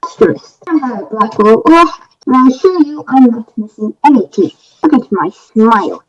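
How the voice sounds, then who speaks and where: Indignant high-voiced accused witch, acted by a woman. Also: animated, normal speed, dull audio, close to an everyday voice. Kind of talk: readers theater script reading.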